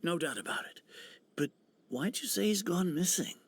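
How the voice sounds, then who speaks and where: A man playing a plain-spoken, friendly villager in a video game, with a gravelly, husky voice. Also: high voice, animated, moderate pace, exaggerated voice. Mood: casual, assured. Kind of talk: video game character dialogue.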